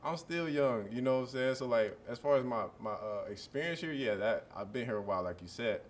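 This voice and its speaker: A man in his early twenties, a college football player, answering press questions in a relaxed, rambling way full of fillers. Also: mid-range voice, a little fast. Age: early 20s.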